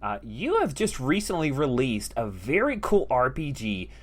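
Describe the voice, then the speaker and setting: A man voicing an excitable, wisecracking frog puppet who hosts a comedy talk show, gushing and exclaiming with swooping emphasis. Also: mid-range voice, normal speed. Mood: enthusiastic.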